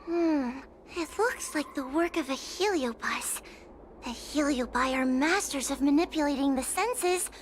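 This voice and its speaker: A woman voicing a timid, earnest little girl in a video game, polite, careful and gently hesitant. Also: high-pitched, unhurried, a little hiss or hum. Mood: thoughtful, earnest.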